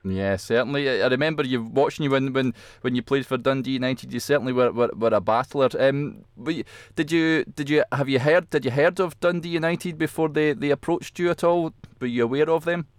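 A male interviewer with a medium-pitched voice talks in a dry, close sound. He is agreeable and casual, stammering through repeated words as he puts questions to his guest. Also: brisk, few pauses, clean tone, dry recording. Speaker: interviewer, host.

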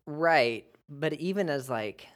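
A man's voice: fairly high pitch, expressive, slow pace. An American man in his young adult years co-hosting a podcast, casual and self-deprecating with lots of fillers and laughs. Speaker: podcast co-host. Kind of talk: casual chat.

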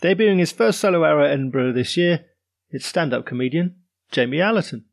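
A man's voice: a little high, expressive, fairly quick, vocal fry. A man from England hosting a comedy podcast, with a playful, punning delivery, reading a scripted intro and then interviewing a guest. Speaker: podcast host.

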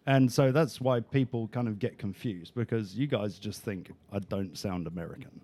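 An English man with a British accent, now and then lifting the end of a line in an Australian way. His voice sits a little low, and he talks loosely and easily with plenty of "um"s. It sounds like a relaxed podcast chat. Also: moderate pace. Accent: British, with some Australian turns of phrase. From England. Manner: relaxed and chatty, many fillers, sometimes a rising inflection. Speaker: guest. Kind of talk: casual interview chat.

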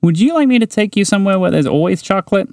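A man voicing a small, excitable, chatty mouse in a bedtime story, eager and bubbly. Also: high-pitched, vocal fry, dry recording, clean studio sound, pitched above the performer's own voice. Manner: eager, bubbly, quick to laugh. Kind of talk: storybook character voice.

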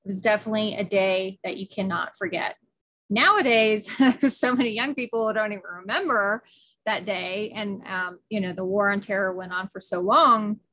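A woman's voice: medium pitch, moderate pace, pushed and bright, low-quality recording. A middle-aged woman hosting a podcast interview, enthusiastic and talkative, with frequent fillers and restarts. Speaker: podcast host. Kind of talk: casual interview.

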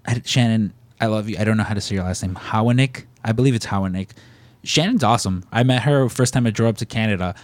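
A man's voice: lowish voice, fast, dry recording. Laid-back man with a Chicago accent. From Chicago area, US. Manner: rambling, loose, joking. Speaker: podcast co-host. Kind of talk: casual chat.

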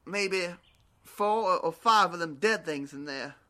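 A man's voice: sits high, unhurried, bright, forceful tone. A man playing a hesitant, folksy, helpful survivor in a zombie audio drama, stammering as he speaks. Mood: cautious.

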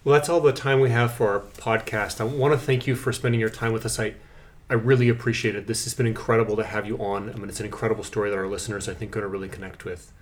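A man's voice: lowish voice, little pitch movement, quick pace. A man with an American accent, conversationally interviewing a guest as a podcast host.

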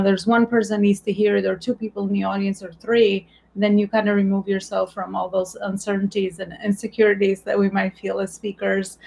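A woman with an Albanian accent, a native Albanian speaker, hosting an interview and asking questions in a warm, halting way with many fillers. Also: mid-range voice, creaky, rough recording. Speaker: podcast host.

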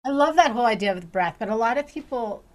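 A woman's voice: on the high side, lively intonation, fast, a little mellow, a little hiss or hum. Enthusiastic woman interview host. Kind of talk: interview questions.

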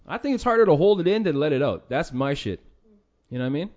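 A man's voice: a little high, big pitch swings, quick pace, darkish tone, muffled recording. A man co-hosting a casual podcast, joking around and swearing freely. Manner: joking, brash, profane. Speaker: podcast co-host.

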